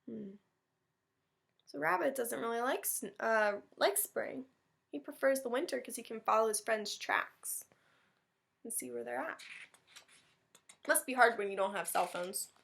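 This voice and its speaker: A woman's voice: on the high side, a little slow, creaky, long pauses, a bit bright. A woman teacher chatting informally and animatedly to young children during a read-aloud.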